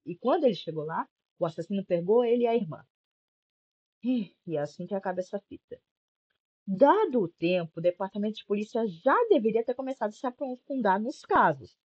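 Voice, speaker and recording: A young Brazilian woman hosts a casual commentary video. Her voice is husky and swings widely in pitch as she talks in an informal, sarcastic way. The recording is a little dull and lo-fi. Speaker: YouTube commentary host. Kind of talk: casual video-essay commentary recapping a horror series.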